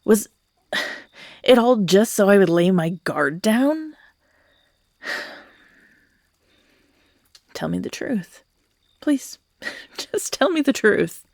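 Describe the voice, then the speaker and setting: Woman acting unhinged, possessive young kidnapper, slow and gravelly. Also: medium pitch, lively intonation, a little mellow, dry recording, clean studio sound, full character voice. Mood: hurt, pleading. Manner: theatrical, swinging between whispers and outbursts, sighing. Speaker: voice actor in audio roleplay. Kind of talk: dramatic ASMR audio roleplay acting.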